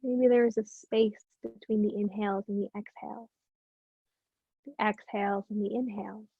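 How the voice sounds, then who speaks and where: A woman teaching meditation, speaking gently and unhurriedly with long pauses in soothing guided instruction. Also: mid-range voice, level tone, vocal fry, breathy edge, dry recording, phone-quality sound.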